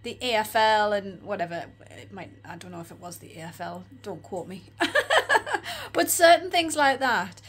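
A woman in her forties with an Australian accent, chatting and rambling in a casual vlog, her voice croaky and tired. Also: mid-range voice, animated, moderate pace. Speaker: vlogger. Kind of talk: casual shopping vlog chat. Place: room with neon lights, indoors.

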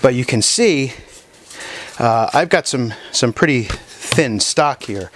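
Man, woodworking teacher, mid pitch, halting and relaxed, workshop bench demo. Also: background noise. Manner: relaxed, halting, many fillers and restarts. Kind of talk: casual workshop demonstration. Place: woodworking shop, indoors.

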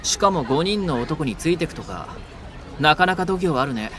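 An actor playing the composed but blunt twenty-two-year-old eldest of a delinquent gang in an audio drama. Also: male voice, on the high side, lively intonation, moderate pace, a crowd in the background, natural voice. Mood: calm, gentle. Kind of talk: Japanese audio drama acting.

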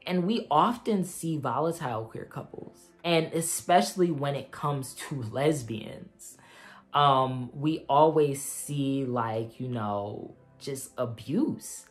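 A man's voice: medium pitch, slow, faint music behind. Earnest young man reviewing books. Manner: earnest, heartfelt, with frequent um and like fillers. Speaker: book reviewer. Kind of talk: casual book review vlog. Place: small room at home with a bookshelf, indoors.

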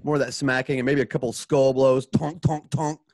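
A man's voice: mid-range voice, steady tone, normal speed, darkish tone, no room sound, clean studio sound. A man directing a radio drama, casually narrating sound cues in an informal way with spoken sound effects.

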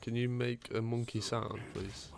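Young man, gamer, gravelly voice, deadpan, teasing, headset chat. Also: mid-range voice, unhurried. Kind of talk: casual voice chat while gaming.

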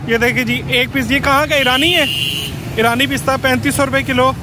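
A man's voice: very high-pitched, fairly even intonation, a little fast. A man in his thirties with a Lahore Pakistani accent, presenting a casual street market vlog and repeating prices back to viewers. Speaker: vlogger host. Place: outdoors on a roadside. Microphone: phone or camera at arm's length. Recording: clear street and traffic noise.

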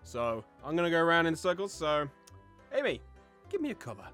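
An excitable man hosting a party word game in casual banter with friends. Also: fairly high pitch, fairly quick, faint music behind. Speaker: host.

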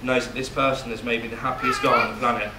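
A man's voice: medium pitch, flat delivery, quick pace. A young man giving his groom's speech at a wedding reception, reading his notes, joking and at times emotional. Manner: emotional, voice catching at moments, joking. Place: wedding reception hall, indoors. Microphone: far camera, no mic. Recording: echoey hall, distant camera, loud noise.

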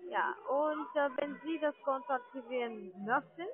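A woman working as a call center agent, making a sales pitch over the phone. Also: normal speed. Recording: tinny narrow phone line.

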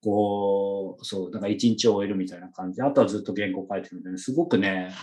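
A man's voice: lowish voice, fairly even intonation, fast talker, a little mellow. A man hosting an interview podcast, chatting in a casual, informal way. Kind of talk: casual chat.

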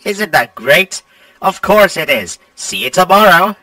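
A man's voice: sits high, sing-song, unhurried, pushed and bright, no echo, recorded from a distance, full character voice, rough recording. A man voicing a self-assured animatronic explorer, speaking in a showy, congratulatory manner in game voice lines. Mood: cheery, smug. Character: self-assured male animatronic explorer greeting the night guard. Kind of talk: video game character voice lines.

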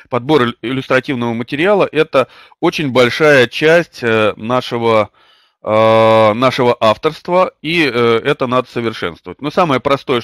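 Older guy with a bit of a cold giving a webinar, lots of umms, dry close sound, mid pitch. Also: fairly bright tone, no room sound. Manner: hesitant, many drawn-out fillers. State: slight cold, coughing. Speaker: webinar host and publishing trainer. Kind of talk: webinar lecture with personal anecdote. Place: small indoor room. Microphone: webcam or desk mic at a computer.

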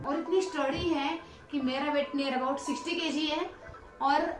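A woman's voice: high voice, steady tone, measured pace. A young adult woman, giving a casual, explanatory product review to camera and mixing in English words. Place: living room at home, indoors. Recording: echoey room, music behind.

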